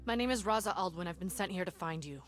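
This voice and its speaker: A woman's voice: lowish voice, animated, fast talker, lightly acted. A woman playing a driven resistance fighter from the future in a game cutscene, intense, solemn and insistent. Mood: grave, intent. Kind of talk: video game cutscene acting. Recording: loud music behind the voice. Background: loud background music.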